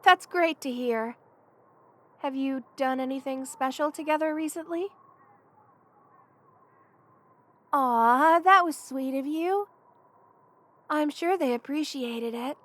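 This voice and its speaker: A woman's voice: high voice, unhurried. A woman voicing a playful, affectionate young lamia best friend in a casual, teasing ASMR roleplay. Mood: friendly, interested. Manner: casual, teasing, conversational with soft chuckles. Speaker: voice actor in audio roleplay. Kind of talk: ASMR roleplay, one-sided friendly chat.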